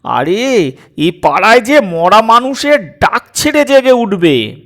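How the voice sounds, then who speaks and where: A man voicing a sharp, exasperated middle-aged mother in a comic audio story, snapping out shrill complaints. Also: big pitch swings, takes it slowly, exaggerated voice. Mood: scolding.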